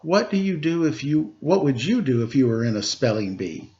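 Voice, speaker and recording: A man's voice: medium pitch, very animated, normal speed, a little mellow, lo-fi sound, lightly acted. A man voicing an anxious, earnest young schoolboy in a storybook. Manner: earnest, worried.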